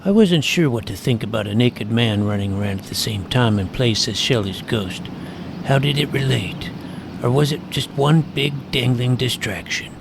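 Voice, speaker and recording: A man playing an earnest, self-important boy detective, narrating a comic mystery in a deadpan, precise way. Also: medium pitch, normal speed, creaky, noisy background. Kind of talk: first-person scripted narration of a comic mystery.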